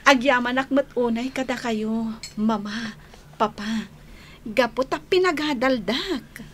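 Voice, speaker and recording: An actress with an Ilocano Filipino accent playing a sweet, frank, lovelorn young college student in a radio drama. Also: female voice, a little high, takes it slowly, fairly bright tone, slight background noise. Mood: earnest.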